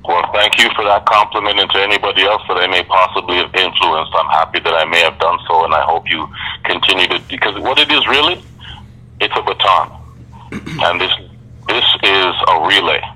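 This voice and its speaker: A man being interviewed as a guest MC, speaking in a deliberate, reflective way with measured phrasing. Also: sits low, bright, forceful tone, rough recording. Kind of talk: podcast interview.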